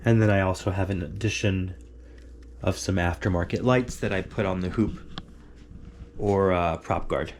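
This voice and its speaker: Man with a head cold, nasal, low and gravelly; patient step-by-step tutorial delivery, checks in with "okay?". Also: normal speed, long pauses, slight background noise. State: congested with a cold. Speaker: hobbyist demonstrating a radio setup. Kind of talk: casual how-to tutorial. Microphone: camera on a handheld tripod.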